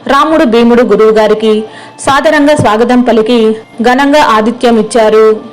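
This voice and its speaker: A woman's voice: a little high, moderate pace, poor audio. A woman storyteller reading scripted narration for a moral tale.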